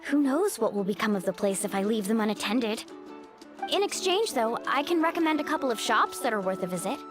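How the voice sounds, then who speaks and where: Easygoing take-charge young woman acted by a woman, high-pitched, bouncy intonation, breezy, chatty, anime game. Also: animated, a little fast, over music, close to an everyday voice. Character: upbeat, easygoing, sporty young woman who takes charge.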